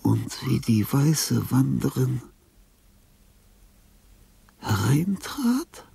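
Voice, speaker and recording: A lonely old composer near death in a radio play. His voice is deeply creaky and breathy, rambling slowly between tenderness and confusion, with wide swings from murmur to cry. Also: very animated, warm tone, a little hiss or hum, full character voice. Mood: solemn, murmuring. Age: older. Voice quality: gravelly, husky, breathy. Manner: halting, swinging between whispers and cries. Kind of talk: German radio drama acting.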